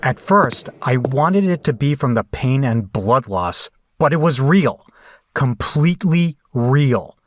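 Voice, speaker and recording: A man performs a frightened captive recounting his ordeal for a horror audio drama. His mid-pitched voice moves slowly and deliberately, hesitant and pained when he speaks. There is clear noise in the recording. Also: expressive. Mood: grim, haunted recounting. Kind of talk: first-person horror audio drama narration and acted dialogue.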